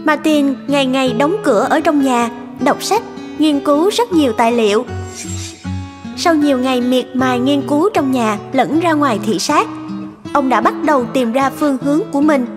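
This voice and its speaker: A woman's voice: high-pitched, normal speed. A woman reading scripted storybook narration for a cartoon. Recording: long reverb, prominent guitar music.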